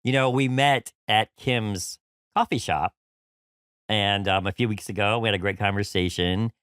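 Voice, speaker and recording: A man from New York hosts a casual podcast interview, full of eager praise and filler phrases. His voice sits on the low side for a man. The sound is close and dry, with no room echo. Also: normal speed, fairly bright tone, clean tone. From New York City. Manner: upbeat, effusive, many "you know"s. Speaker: podcast host.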